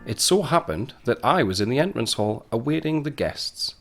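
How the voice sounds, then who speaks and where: A man's voice: mid-range voice, very animated, moderate pace, over loud music. A man playing a wry narrator, telling a 1919 tale in a dramatic storytelling style for an audio drama.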